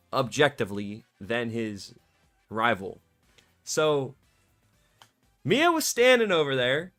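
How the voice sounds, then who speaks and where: A male streamer chatting casually and excitably on a live stream, his voice a bit scratchy from cheering, in a warm home room. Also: medium pitch. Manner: excitable, slangy, rambling.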